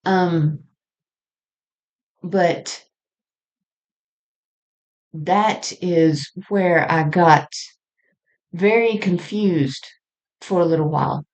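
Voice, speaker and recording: A woman speaking in an unscripted, reflective spiritual monologue, halting and trailing off mid-thought. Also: on the low side, rough recording. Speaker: podcast host.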